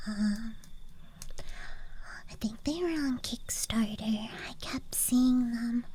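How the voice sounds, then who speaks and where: Soft breathy woman whispering asmr, real slow n mellow, faint night ambience behind her. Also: fairly high pitch. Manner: soft, breathy, unhurried whispering, murmuring to the listener. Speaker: ASMR host. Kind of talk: whispered ASMR show-and-tell. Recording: faint background noise, outdoor night ambience. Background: faint rural night ambience sounds.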